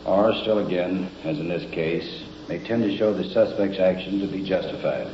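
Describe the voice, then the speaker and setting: Low-voiced police lieutenant in echoey office. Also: male voice, a little hiss or hum. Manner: measured, formal. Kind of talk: summing up a case for radio. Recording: muffled, echoey old field recording.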